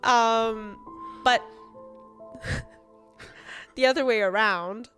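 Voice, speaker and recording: A woman whose first language is Chinese, co-hosting a casual podcast chat; chatty and quick to laugh. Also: a little high, a bit bright, faint music behind. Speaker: podcast co-host.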